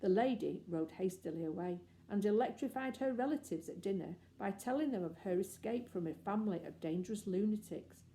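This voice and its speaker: Mellow, creaky-voiced woman storyteller. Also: mid-range voice, expressive, a little fast, few pauses, slight background noise. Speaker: audiobook narrator. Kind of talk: expressive children's story narration.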